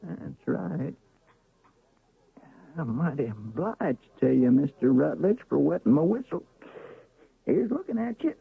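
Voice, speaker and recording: A folksy drawling frontier sheriff. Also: male voice, on the high side, lively intonation, vocal fry, slightly rough, no room sound, heavily acted. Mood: easygoing. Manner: slow folksy drawl, plain country talk. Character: folksy small-town Western sheriff, male. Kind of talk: 1970s radio drama acting. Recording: narrow lo-fi old radio broadcast.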